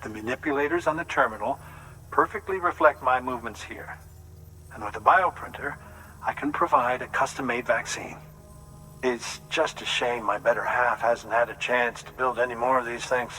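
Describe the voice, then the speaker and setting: An actor playing a reassuring, slightly flustered doctor in a video game, explaining earnestly with some hesitant starts. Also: male voice, medium pitch, normal speed, sharp tone, soft background music, lightly acted, low-quality recording. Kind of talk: dramatic video game acting.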